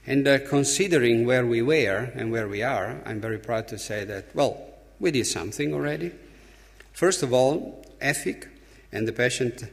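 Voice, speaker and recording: A man from Italy with an Italian accent gives a conference speech as an opening speaker, emphatically, with halting, deliberate pauses. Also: medium pitch. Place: large conference hall. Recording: reverberant hall, long echo.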